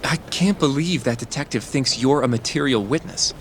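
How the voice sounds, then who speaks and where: A man's voice: mid-range voice, normal speed, slight background noise, close to an everyday voice. A man acting in a game dub as an earnest young attorney, articulate and polite but firm. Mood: puzzled, concerned.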